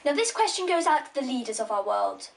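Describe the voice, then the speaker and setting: A woman's voice: high voice, very animated, fast, creaky, recorded from a distance. A twelve-year-old girl from England, earnestly challenging world leaders in a recorded speech.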